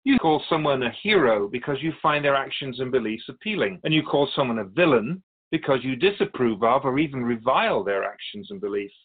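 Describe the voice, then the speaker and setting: A man playing a patient, wise, otherworldly Keeper of Souls who teaches in a measured, philosophical way in a radio drama. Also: mid-range voice, animated, husky, phone-quality sound. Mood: patient, instructive. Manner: measured, philosophical, gently instructive. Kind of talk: scripted radio drama dialogue.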